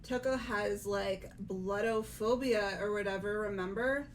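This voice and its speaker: A woman's voice: mid-range voice, a little slow, lightly acted, poor audio. A woman voicing an earnest, disbelieving teenage schoolgirl in a casual, conversational amateur game dub. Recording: noticeable background noise.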